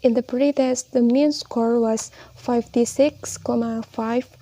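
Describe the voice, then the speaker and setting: A woman's voice: on the high side, fairly even intonation, takes it slowly, mellow. A young woman with an Indonesian accent, a student reading her poster presentation aloud carefully and steadily, now and then correcting herself. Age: young adult.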